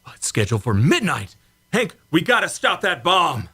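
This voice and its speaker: An amateur actor playing a frantic young test pilot in a radio play, urgent and pleading. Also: male voice, fairly high pitch, huge pitch swings, moderate pace, pushed and bright, slightly rough, heavily acted.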